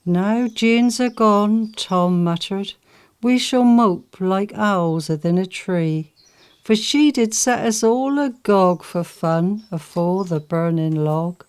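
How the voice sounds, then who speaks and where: A woman's voice: medium pitch, slow, a little mellow, smooth. A woman reciting dialect poetry. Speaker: poetry reader.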